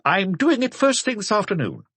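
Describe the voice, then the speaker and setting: A man voicing a brisk, obliging forensic doctor in an audiobook. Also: high-pitched, sing-song, a bit bright, slightly rough, dry recording, voice pushed up, heavily acted. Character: brisk, helpful male forensic pathologist on telephone.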